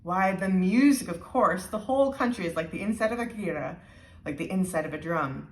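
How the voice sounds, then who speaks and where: A woman's voice: lowish voice, expressive, brisk, distant mic, close to an everyday voice. A woman voicing an enthusiastic neighborhood woman who reminisces fondly, savoring each phrase emphatically in a storybook reading. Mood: fondly excited. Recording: roomy, echoey sound.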